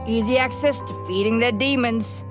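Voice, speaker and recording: A woman acts a world-weary older bartender in a visual novel. She talks folksy and no-nonsense, motherly but tough, in a slightly high voice. Music is clearly heard behind her. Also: moderate pace, natural voice. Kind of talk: visual novel character acting.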